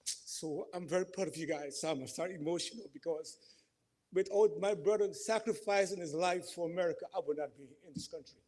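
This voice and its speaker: A man from Guyana with a Guyanese accent, giving an emotional, halting speech at a community reception. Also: a little high, a little mellow. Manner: emotional, halting, repeats and corrects himself. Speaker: event speaker. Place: community center hall.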